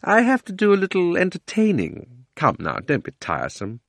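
A man voicing a shifty, cowardly older gentleman in a storybook, wheedling and stammering. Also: sits high, wildly swooping pitch, moderate pace, creaky, dry recording, pitched above the performer's own voice, exaggerated voice.

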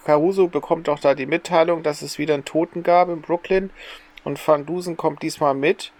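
A man's voice: a little high, steady tone, brisk, darkish tone. A man co-hosting a podcast in a casual, conversational style. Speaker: podcast co-host.

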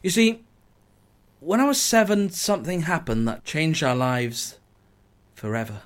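A man's voice: a little high, sing-song, a little slow, a little hiss or hum. A man playing a grave teenage soldier from Wales narrating his life in a radio play. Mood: reflective.

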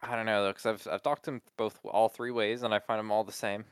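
A man's voice: on the low side, little pitch movement, fast talker, smooth, dry recording, clean studio sound. A man co-hosting a podcast in laid-back chat, explaining tech with lots of filler words. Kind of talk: casual chat.

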